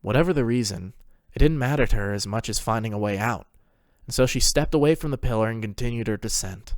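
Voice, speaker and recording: A man's voice: medium pitch, quick pace, dry recording. Man narrating a story, very dry sound.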